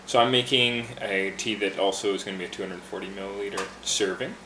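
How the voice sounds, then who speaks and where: A man working behind a tea bar casually explains how to brew a green tea, talking in a relaxed way with fillers and restarts. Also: on the low side, a little fast, reverberant, slight background noise. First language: English. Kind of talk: casual instructional demonstration.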